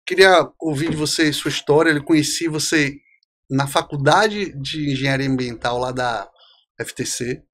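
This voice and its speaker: A man hosting a podcast who interviews a guest in a casual, slightly halting way. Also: fairly high pitch, fairly bright tone, slight background noise.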